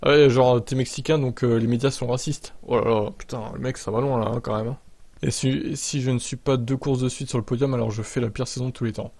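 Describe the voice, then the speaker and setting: A man's voice: mid-range voice, fairly even intonation, fairly quick, gravelly, a little mellow, no echo. A man hosting motorsport news, moving between narration and blunt, informal opinion. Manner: informal, sardonic, slangy. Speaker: motorsport commentator and video host.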